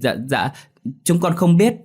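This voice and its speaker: A man's voice: fairly high pitch, very animated, unhurried, a little mellow, breathy edge, voice pushed up, exaggerated voice. A man doing a stammering, frightened boy. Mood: scared stammer. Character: timid, stammering village boy.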